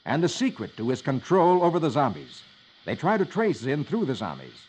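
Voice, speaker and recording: A man's voice: on the high side, very animated, normal speed, a little mellow, husky. A man hosting a 1950s children's radio show, reading comic strips in a jolly, theatrical storytelling style. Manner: jolly, exaggerated delivery. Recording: noisy old 1950s radio recording.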